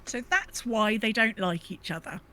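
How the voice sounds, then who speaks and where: A woman co-hosting a podcast, reading a game lore recap casually with wry, deadpan asides. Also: mid-range voice, normal speed. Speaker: podcast co-host. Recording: faint background noise.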